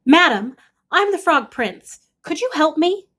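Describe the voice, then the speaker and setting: A woman's voice: high-pitched, very animated, unhurried, a bit bright, voice pushed up, full character voice. A woman voicing an earnest young frog prince from a storybook, pleading and easily exasperated. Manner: breathless pleading, exasperated emphasis.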